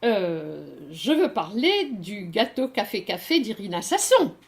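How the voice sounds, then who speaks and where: A woman's voice: mid-range voice, very animated, a little slow. A woman hosting a radio book interview, engaged and speaking directly to her listeners. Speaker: radio show host.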